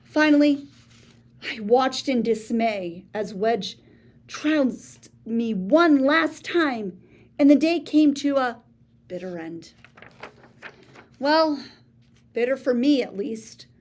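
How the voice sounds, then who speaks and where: A woman reading a children's picture book aloud, expressive, with gasps and hushed asides to her listeners. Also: fairly high pitch, takes it slowly, long pauses. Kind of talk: read-aloud storytelling for children.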